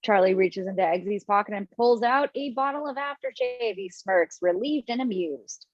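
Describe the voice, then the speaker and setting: A woman's voice: mid-range voice, big pitch swings, moderate pace, no echo. A woman reading the stage directions at a video-call table read, now and then laughing at her own slips. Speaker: script reader. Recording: dull lo-fi home mic.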